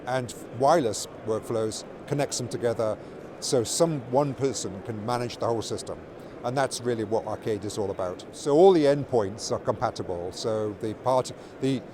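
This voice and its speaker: A middle-aged or older man giving an informal interview as a company product executive, explaining things with repeated words and restarted phrases. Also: medium pitch. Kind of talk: informal trade show interview. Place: busy trade show exhibition hall. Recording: loud trade show floor noise. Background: clear ambient show floor noise.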